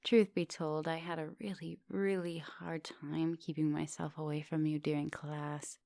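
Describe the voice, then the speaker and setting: Casting: woman to play a female vampire professor for ASMR roleplay; gentle, hungry seductress, intimate whispers and murmurs, fry-laden voice, very dry close recording. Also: deep voice, little pitch movement, measured pace, no room sound. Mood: craving, restless. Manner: breathy murmurs and whispers, coaxing pet names. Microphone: close microphone.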